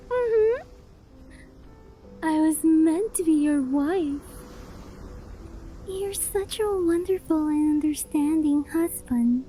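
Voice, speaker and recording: A woman's voice: high voice, dark tone, rough recording. A young woman voicing a possessive, doting fox-spirit wife in a cutesy, affectionate ASMR roleplay. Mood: clingy, adoring. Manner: cutesy, clingy, affectionate, with breathy gasps and giggles. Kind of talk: ASMR roleplay, addressing the listener.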